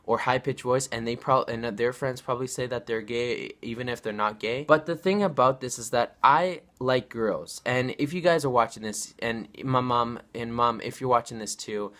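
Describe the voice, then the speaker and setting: A man's voice: medium pitch, normal speed, hardly any pauses, a bit bright. A nineteen-year-old man speaks to his viewers in a loose, rambling vlog. He circles back over the same points with earnest restarts. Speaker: vlogger. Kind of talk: casual direct-to-camera vlog.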